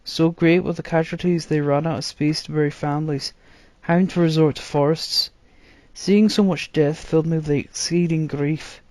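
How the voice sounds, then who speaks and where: Guy reading fantasy story out loud, dramatic narration, voice a bit high, no room echo. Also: fairly even intonation, moderate pace. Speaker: narrator, reader.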